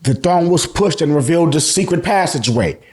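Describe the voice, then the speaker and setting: An American man giving an excited, slangy fan recap, emphatic and repeating phrases for effect, with casual swearing. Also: a little high, brisk. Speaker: host of an anime and manga review channel.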